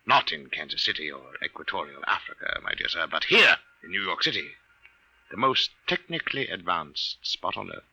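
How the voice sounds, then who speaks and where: Deep, sharp-edged, pompous eccentric gentleman. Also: big pitch swings, moderate pace, slightly rough, dull audio, full character voice. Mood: pompous, insistent. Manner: precise, pompous, formal diction. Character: formal, wealthy, eccentric male client obsessed with alien invasion. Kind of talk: 1950s radio drama acting.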